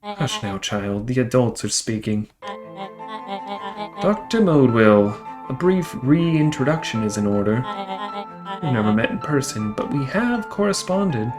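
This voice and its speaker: A woman voicing a smug, scheming villain in a young boy's body, speaking pompously in crisp, measured syllables for a video game. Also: extremely low pitch, very animated, full character voice. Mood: smug, commanding. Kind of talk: theatrical video game character acting. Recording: music behind the voice.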